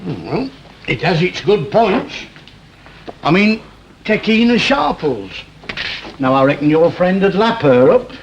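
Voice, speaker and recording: An older Northern English neighbour, high in pitch, homely and blunt with his probing questions. It is vintage television drama, on a muffled soundtrack with clear background noise. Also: sing-song, unhurried, long pauses, exaggerated voice. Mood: affronted, reproving. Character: nosy, plain-spoken older neighbour man, fond of collecting. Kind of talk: 1960s British television drama acting.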